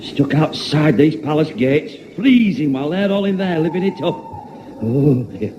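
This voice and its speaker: Touchy, officious Northern English footman played by a man, highish voice, grumbling on a muffled, noisy old radio recording. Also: animated, normal speed, mellow, natural voice. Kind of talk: 1960s radio pantomime comedy. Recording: dull, noisy 1960s radio.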